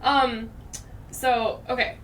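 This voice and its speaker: A woman's voice: on the high side, level tone, very slow. A woman hosting a podcast in casual, reflective chat, thinking aloud with many fillers. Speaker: podcast host. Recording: distant mic, background noise.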